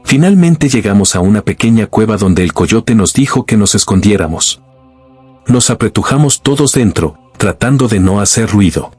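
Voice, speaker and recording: A man reading a scripted first-person horror story in a slow, grave, suspenseful way. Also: on the low side, expressive, darkish tone, husky, dry recording, soft background music. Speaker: narrator.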